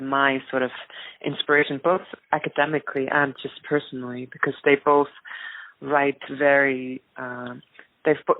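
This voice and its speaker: A woman with an Icelandic accent answers interview questions in a thoughtful, hesitant way. Her intonation stays very even. The recording is dry and narrow, like a call. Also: extremely low pitch, dry recording. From rural Iceland. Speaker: interview guest, activist and filmmaker. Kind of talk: reflective interview talk.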